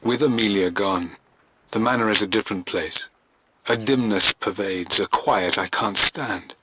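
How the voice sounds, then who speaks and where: A man's voice: lowish voice, unhurried, bright, forceful tone, breathy edge, heavily acted, low-quality recording. An actor playing a grieving, brooding father, reading a journal aloud in a somber, literary manner for a game, over background noise. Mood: mournful, brooding.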